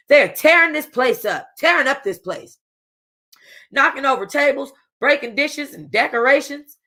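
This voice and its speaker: A woman reading a first-person novel aloud for a book club, casual and expressive, with a streetwise tone. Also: medium pitch.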